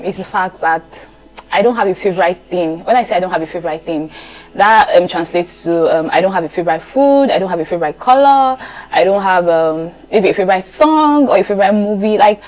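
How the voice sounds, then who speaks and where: A woman's voice: mid-range voice, a little mellow, rough recording. A young woman from Lagos with a Nigerian accent, chatting casually to viewers and repeating phrases for emphasis. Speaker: vlogger.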